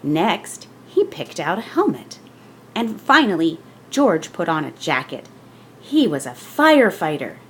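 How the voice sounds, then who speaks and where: Expressive woman reading a children's storybook. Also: lowish voice, measured pace, slight background noise. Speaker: children's book reader. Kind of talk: scripted storybook narration for children.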